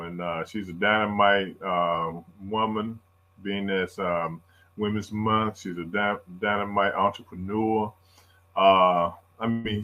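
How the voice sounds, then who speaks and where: A male radio host in a loose, rambling talk style, leaning on fillers as he goes. His voice comes across bright and forceful. The recording itself sounds dull and lo-fi. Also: fairly low pitch. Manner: chatty, rambling, lots of fillers. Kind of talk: casual radio interview.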